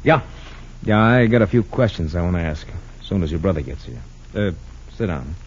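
An actor playing a blunt, dogged American police lieutenant in old-time radio drama style, matter-of-fact and clipped. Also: male voice, sits low, big pitch swings, fairly quick, husky, full character voice. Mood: firm. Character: blunt, dogged male police lieutenant, sure of his theory. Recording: muffled 1940s-50s radio recording.

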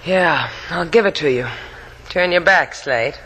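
A woman's voice: deep voice, unhurried, bright, forceful tone, slightly rough, long reverb tail, exaggerated voice, low-quality recording. A woman playing a tough, spirited, wry young woman in trouble, in dramatic old-time radio style. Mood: shaken, wry. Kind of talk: 1940s-50s radio adventure acting.

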